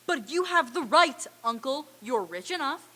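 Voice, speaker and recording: A woman's voice: sits high, lively intonation, normal speed, pushed and bright. A woman playing a cheerful, earnest young nephew in a staged reading of a radio play, in a reverberant hall. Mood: earnest, pleading. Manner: exclamatory, earnest.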